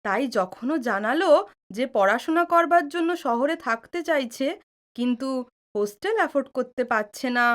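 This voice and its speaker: A woman plays a cheeky young daughter in an audio drama. Her voice is high and bright with bouncy, varied pitch. She speaks in a teasing, headstrong way. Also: animated, moderate pace. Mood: insistent. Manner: teasing, mixes in English.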